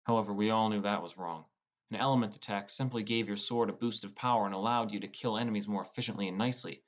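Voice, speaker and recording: A man's voice: lowish voice, fast. A man reading scripted first-person fantasy narration as an audiobook narrator. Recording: music bed under voice. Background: prominent plucked guitar music.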